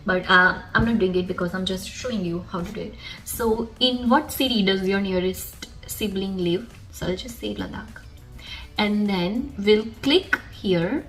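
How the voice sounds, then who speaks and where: A young woman whose first language is Gujarati talks through a screen-recorded tutorial in a relaxed, step-by-step way, her voice sitting at an ordinary middle pitch. Faint music plays quietly behind her. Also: poor audio. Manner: casual, explains step by step while clicking. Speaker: tutorial host.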